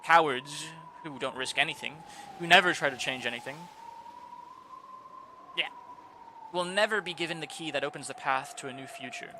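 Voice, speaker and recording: A man reading aloud the quiet, solemn inner monologue of a brooding young male servant, with a steady hiss behind. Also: fairly high pitch, normal speed, long pauses. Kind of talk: reading a visual novel aloud.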